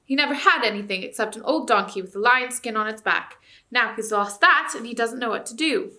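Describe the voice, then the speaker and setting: A woman voicing a scoffing male chief dwarf who heckles loudly. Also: fairly high pitch, a little fast. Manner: loud, scoffing.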